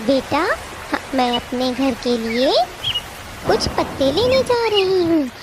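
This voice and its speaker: A woman's voice: high voice, sing-song, slow pace, heavy background noise, exaggerated voice. A woman voicing a protective, caring mother bird in a children's cartoon story, earnest and motherly. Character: gentle, caring mother bird, protective of her young son.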